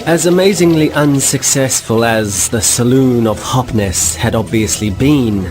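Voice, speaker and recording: A man giving wry, deadpan, mock-formal comic narration for a science-fiction radio play. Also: mid-range voice, measured pace, very noisy. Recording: music behind narration.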